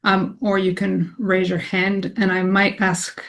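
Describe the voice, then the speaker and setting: Canadian woman giving a relaxed work talk. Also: medium pitch, unhurried, vocal fry. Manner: conversational, frequent ums, self-deprecating. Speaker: academic statistician presenting a talk. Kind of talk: informal presentation to colleagues.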